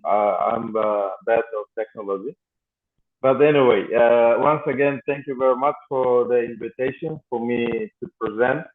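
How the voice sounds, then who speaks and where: A man from Timor-Leste gives a presentation over a video call. He speaks slowly and hesitantly, with many fillers. The audio is thin and phone-like. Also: mid-range voice. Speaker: guest speaker.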